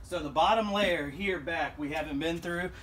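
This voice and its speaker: A man's voice: fairly high pitch, moderate pace, fairly bright tone. A man, chatting casually and jokily to camera as he hosts a rambling unboxing vlog. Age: 30s. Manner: rambling, folksy, jokey. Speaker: host of storage unit unboxing show. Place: storage unit and truck, open doors. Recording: noisy camera audio with vehicle sounds.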